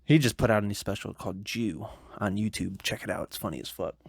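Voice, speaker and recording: A man's voice: fairly low pitch, fast, creaky, slightly rough, no echo, studio quality. A man with a speech impediment affecting his R sounds, co-hosting a podcast in loose, rambling casual chat. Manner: loose, swears freely, rambling. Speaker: podcast co-host.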